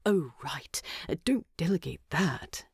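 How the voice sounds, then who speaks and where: A woman voicing a sleepy, gently teasing male hobbit with a soft manner in a narrated story. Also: sits low, animated, slow, husky, dry recording, clean studio sound, exaggerated voice. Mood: sleepy, sympathetic.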